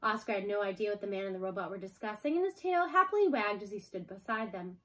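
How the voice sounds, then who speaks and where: A woman reading a children's book aloud as an expressive scripted narration. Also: mid-range voice, fast talker, low-quality recording. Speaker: storybook reader.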